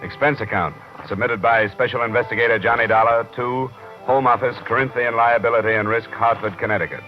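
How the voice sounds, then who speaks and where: An actor playing a tough, world-weary American insurance investigator in a vintage radio drama, clipped and matter-of-fact. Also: male voice, medium pitch, fairly even intonation, fairly quick, close to an everyday voice. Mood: dry, weary. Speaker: lead actor in a radio detective series. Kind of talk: 1950s radio drama acting, first-person narration and dialogue. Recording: muffled vintage radio recording with music.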